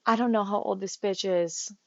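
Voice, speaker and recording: Hurried young woman in a dull, dry voice-over. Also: mid-range voice, fairly even intonation, fairly bright tone, dry recording. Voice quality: slight lisp. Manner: hurried, gossipy, exasperated. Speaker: vlogger. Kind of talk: voice-over recorded while editing.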